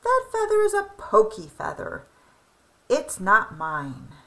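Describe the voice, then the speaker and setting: A woman voicing a brisk, proud and loyal female crow in a children's storybook, emphatic and singsong. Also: mid-range voice, huge pitch swings, gravelly, sharp tone, exaggerated voice. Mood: proud, dismissive.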